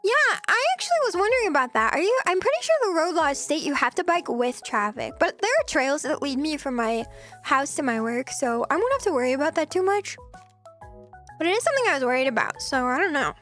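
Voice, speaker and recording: A young woman streamer chatting casually with viewers in a soft, giggly voice, her throat a little scratchy. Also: high voice, very animated, brisk, faint music behind. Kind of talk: casual chat.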